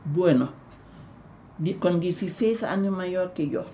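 Slow, halting elderly woman with German accent. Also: on the low side, mellow, slight background noise. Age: older. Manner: halting, repeats words, restarts often. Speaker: interviewee. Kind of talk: recounting memories in an interview.

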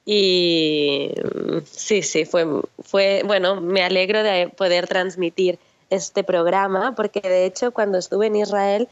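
A woman presenting a radio programme, explaining things in a relaxed conversational way with some fillers. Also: medium pitch, slow, dry recording. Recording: dry, dull lo-fi sound.

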